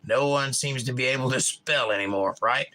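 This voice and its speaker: A middle-aged man with a Georgia accent, hosting a casual live chat and reading out viewer comments, enunciating crisply in an animated, opinionated way. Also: medium pitch, normal speed. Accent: Georgia, US South. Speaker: livestream host.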